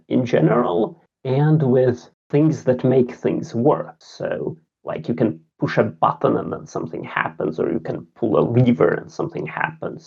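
A man in his thirties from Latvia, a game designer talking about himself in a casual podcast interview, halting and self-deprecating. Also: mid-range voice, creaky, darkish tone, breathy edge, dull audio. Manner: halting, many filler sounds, self-deprecating. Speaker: game designer and programmer, guest.

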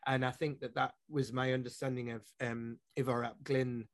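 A man's voice: mid-range voice, level tone, moderate pace, no echo, studio quality. A man from Yorkshire with a Yorkshire accent, a poet talking in an interview in a thoughtful, rambling way with frequent restarts. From Yorkshire, England. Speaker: poet and publisher. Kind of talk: interview conversation.

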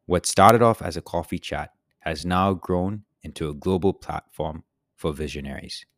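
A man's voice: deep voice, normal speed, a bit bright, no room sound, clean studio sound. A man hosting an interview, reading a polished formal introduction and asking his guest questions. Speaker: interview host.

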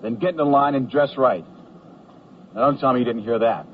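An actor playing a bullying, sarcastic army sergeant who barks curt orders in a radio comedy. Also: male voice, mid-range voice, brisk, natural voice. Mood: barking orders. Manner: barking, curt, commanding. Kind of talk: 1970s radio comedy acting. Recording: dull radio recording, faint noise.

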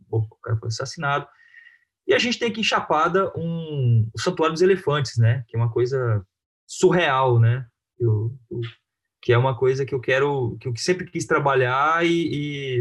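A man with a Brazilian accent talking informally and haltingly in an interview about his own work, often adding little tag questions. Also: medium pitch. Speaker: film director being interviewed.

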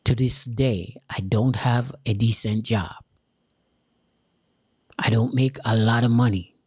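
A Jamaican-accented man from Jamaica giving an earnest, deliberate motivational talk straight to his viewers, repeating key lines for emphasis. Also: medium pitch, fairly even intonation, a little mellow, breathy edge, no echo, studio quality. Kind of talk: motivational direct-to-camera talk.

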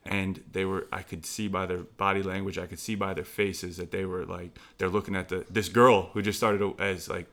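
A man's voice: low voice, little pitch movement, normal speed, hardly any pauses, a bit bright. A man who trains young basketball players, talking casually on a podcast and telling stories with emphatic repetition. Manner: emphatic, storytelling, repeats phrases for stress.

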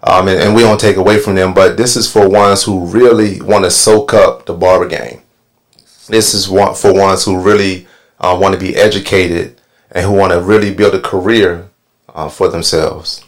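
Man, very deep voice, podcast host, relaxed, lots of ums. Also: moderate pace. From Northern Virginia. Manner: relaxed, filler-heavy with many ums. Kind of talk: casual panel podcast talk.

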